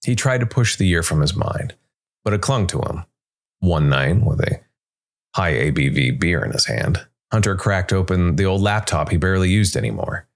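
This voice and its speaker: Guy with a pretty deep voice telling a dark fantasy story, measured, lots of pauses, soft music under it. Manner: measured, dramatic pauses between short phrases. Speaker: narrator. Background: background music.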